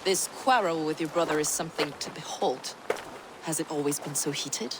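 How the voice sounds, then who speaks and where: Actress as a low-voiced, learned female mystic. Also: normal speed, noisy background, natural voice. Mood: wry, composed. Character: learned, devout female mystic, cryptic and condescending. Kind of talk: video game cutscene acting.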